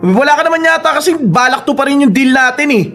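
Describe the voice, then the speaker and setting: A man's voice: very high voice, lively intonation, fast, fairly bright tone, soft background music. A man acting in a Filipino radio drama as a persistent, manipulative young suitor with an insistent, goading delivery.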